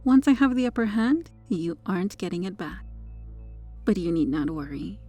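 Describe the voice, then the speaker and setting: A woman playing a possessive superheroine with a hidden dark obsession in an ASMR roleplay, her manner controlled and teasing. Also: medium pitch, expressive, normal speed, faint music behind, close to an everyday voice. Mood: smug, possessive, teasing. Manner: smug, flirtatious, controlled.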